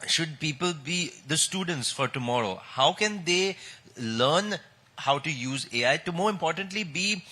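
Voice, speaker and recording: A man's voice: medium pitch, sharp tone. A man, a Hindi speaker, moderating a conversational interview at a conference. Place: conference stage.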